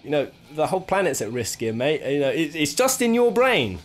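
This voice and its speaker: Animated British man, chatty countdown host. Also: fairly high pitch, fairly quick, faint music behind. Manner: jokey, rambling, thinking aloud. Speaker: video game countdown host. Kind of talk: casual, chatty top-ten commentary.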